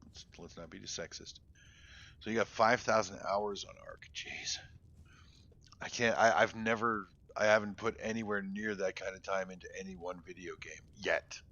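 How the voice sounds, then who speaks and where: A low-pitched man talking loosely to his chat while he plays, sometimes trailing into mutters. The audio is dull and a bit lo-fi, like a home stream mic. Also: fairly even intonation, normal speed, bright, forceful tone. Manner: rambling, talks to chat while playing, drops into mutters. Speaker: game streamer. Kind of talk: casual live-stream chat.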